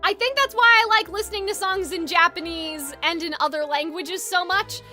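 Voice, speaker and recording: A woman with a strikingly high, bright voice chats to her livestream viewers. She rambles informally and gives blunt opinions, sounding slightly congested. Music plays behind her. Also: normal speed. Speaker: game livestreamer. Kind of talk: casual livestream chat with viewers.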